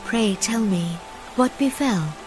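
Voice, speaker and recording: A woman's voice: mid-range voice, expressive, slow. A woman voicing a gracious, earnest leader of a forest nation in a fantasy video game, speaking with solemn, archaic formality. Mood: gentle concern. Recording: loud music under voice.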